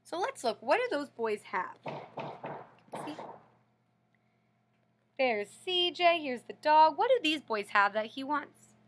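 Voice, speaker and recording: A woman's voice: high-pitched, very animated, slow, long pauses, fairly bright tone, slight background noise. A woman reading a picture book aloud to children like a teacher, explaining and prompting young listeners with questions. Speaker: read-aloud storyteller and teacher.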